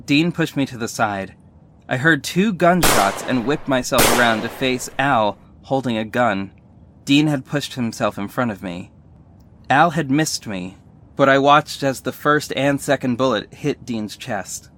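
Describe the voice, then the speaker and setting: Audio drama lead for a male actor: earnest young hero, emotional first-person narration, medium-pitched voice. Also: measured pace, a bit bright, close to an everyday voice. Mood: tense.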